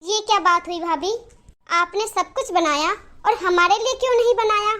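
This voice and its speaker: A woman's voice: very high-pitched, moderate pace, bright, forceful tone. A woman voicing a young college-going daughter in a cartoon story, youthful and plaintive, sweet but easily indignant.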